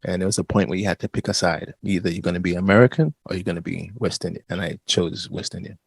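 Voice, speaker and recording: Deep-voiced London man, laid-back. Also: fast, vocal fry, slightly rough, no room sound, clean studio sound. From England. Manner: relaxed storyteller, laughs between thoughts. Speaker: guest musician. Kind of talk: casual interview chat.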